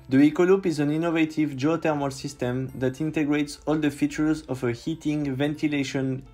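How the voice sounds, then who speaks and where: Male host, strong French accent, calm explainer voice-over, soft music behind. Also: medium pitch. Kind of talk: scripted explainer narration.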